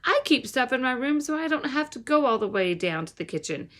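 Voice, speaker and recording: A woman voicing an evasive ten-year-old boy in a storybook, animated and defensive. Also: high voice, a little fast, pitched above the performer's own voice. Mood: defensive, feigned surprise.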